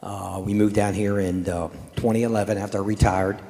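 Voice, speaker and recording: A man in his sixties from Maryland introducing himself humbly to a church, halting with many pauses. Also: on the low side, flat delivery, mellow. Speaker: new church member. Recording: church with long reverb.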